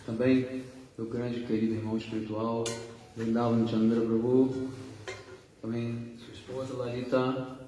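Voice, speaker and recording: A man rambles through an introduction at a religious gathering, stopping often to correct himself. His voice sits at an ordinary pitch. He sounds far from the mic, with long echo from a big hall and a faint hum underneath. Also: little pitch movement, a little slow, pauses often, mellow. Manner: rambling, self-correcting, frequent fillers. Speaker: group leader introducing guest speakers.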